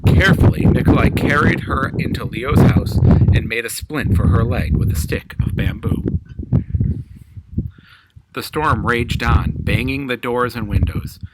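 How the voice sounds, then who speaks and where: A man's voice: medium pitch, unhurried, heavy background noise. A man reads a children's story aloud as a storyteller, in narrated prose.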